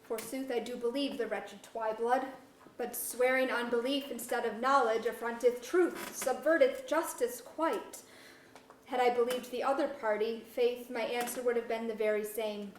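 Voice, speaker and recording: A woman's voice: fairly high pitch, fairly even intonation, unhurried, slight background noise, close to an everyday voice. A woman playing a principled, unbending monarch in an archaic verse drama, formal and declamatory. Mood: resolute musing. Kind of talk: staged reading of pseudo-Elizabethan verse drama. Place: museum auditorium, live reading. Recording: echoey auditorium.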